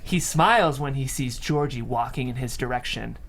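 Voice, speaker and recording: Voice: mid-range voice, normal speed, fairly bright tone. A person reading stage directions aloud at a screenplay table read.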